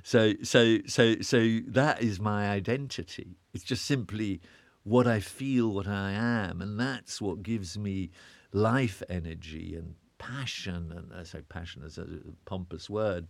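A man's voice: lowish voice, unhurried, vocal fry, dry recording. A British man giving an interview guest's reflective answers, often restarting and repeating words as he searches for a thought.

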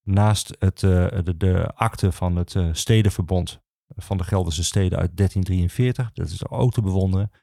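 A man speaking as a guest in an informal podcast interview, explaining his work as an archivist in halting phrases with frequent pauses. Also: deep voice, vocal fry, dark tone, no room sound, studio quality.

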